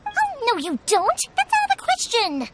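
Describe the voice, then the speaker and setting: Eager young game heroine; very high, animated, chatty, friendly and earnest. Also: female voice, normal speed, heavy background noise, full character voice. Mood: earnest protest. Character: cheerful, eager young woman fireworks maker. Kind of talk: animated video game voice acting.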